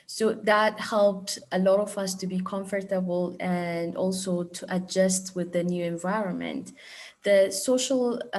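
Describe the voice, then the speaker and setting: A woman from Ethiopia, a program alumna, speaking earnestly with many pauses and fillers in an online info session. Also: mid-range voice, a little mellow. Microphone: video call.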